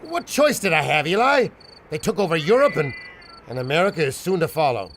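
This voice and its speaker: A man's voice: a little high, sing-song, fairly quick, fairly bright tone, slight background noise. A man playing a cowardly, condescending older turncoat in a radio adventure, exasperated and pleading in his way of talking. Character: Herman, whiny, cowardly older turncoat pal. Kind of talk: old-time radio adventure acting.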